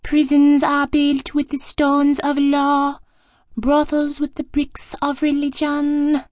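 A woman plays a soft-spoken sect member in a dramatized audiobook. Her voice is high and flat in melody, closely recorded. She recites in a measured, deliberate way. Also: no echo, clean studio sound. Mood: measured reciting.

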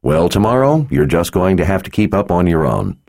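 A man voicing a big, burly male warrior and protective father who speaks in a gruff, drawling, dryly teasing way. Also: low-pitched, big pitch swings, normal speed, darkish tone. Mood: stern.

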